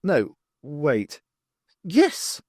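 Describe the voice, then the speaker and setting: An audiobook narrator voicing a vain, excitable male scientist who declaims grandly. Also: mid-range voice, very slow, no echo, clean studio sound, heavily acted. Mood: grand, excited.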